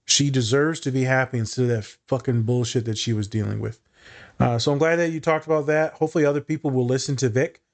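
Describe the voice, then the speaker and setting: A man's voice: mid-range voice, fairly even intonation, quick pace. A man co-hosting a podcast in casual chat, talkative and filler-heavy, a therapist who swears casually.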